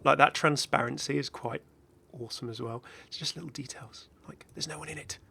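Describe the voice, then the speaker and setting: A man's voice: mid-range voice, fairly even intonation, fairly quick, vocal fry, sharp tone, husky. A man giving casual, off-the-cuff game commentary as a video host, chatty and rambling with enthusiastic asides.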